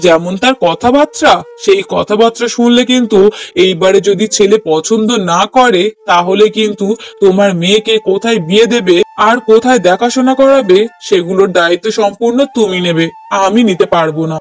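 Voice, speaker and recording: A middle-aged cartoon father, played by a man with an unusually high voice for a man. He is stern and exasperated with his family. He lectures firmly and reproachfully. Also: fairly quick, close to an everyday voice. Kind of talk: Bengali moral-story cartoon dubbing.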